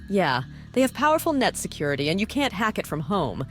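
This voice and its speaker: A woman voicing a bossy, cynical female task force boss in a visual novel, brisk, dry and condescending. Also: mid-range voice, very animated, faint music behind, lightly acted. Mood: businesslike.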